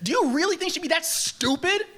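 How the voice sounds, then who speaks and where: A woman's voice: very high-pitched, animated, a little fast, a bit bright. A woman reading a bratty teenage boy who whines and accuses his older brother, at a live table read. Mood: accusing. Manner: whiny, accusing.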